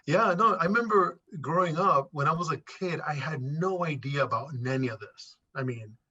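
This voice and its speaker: Male interview guest, middle-aged, somewhat high and husky, emphatic and conversational, on a slightly dull recording. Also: moderate pace, bright, forceful tone. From Puerto Rico and New York City. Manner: emphatic, repeats phrases for stress.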